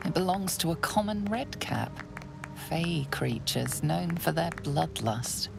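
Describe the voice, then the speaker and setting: A woman playing a solemn, ominous narrator of a fantasy game, speaking in a hushed, deliberate way. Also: low voice, animated, creaky, exaggerated voice. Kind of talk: scripted dramatic narration. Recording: loud game music behind.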